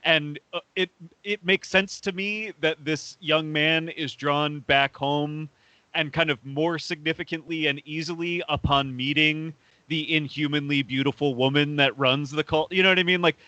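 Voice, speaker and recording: A man's voice: a little high, fairly even intonation, moderate pace, pushed and bright, dry recording, dull audio. A man co-hosting a podcast, casually talking through his reading of a film. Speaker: podcast co-host.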